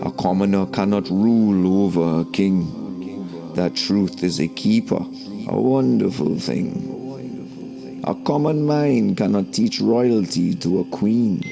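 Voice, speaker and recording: Man, very slow delivery, creaky voice, solemn preachy narration, declamatory phrasing, music in the background. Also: fairly low pitch. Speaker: narrator and host of a spiritual story series. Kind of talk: scripted narration, preachy spoken-word teaching.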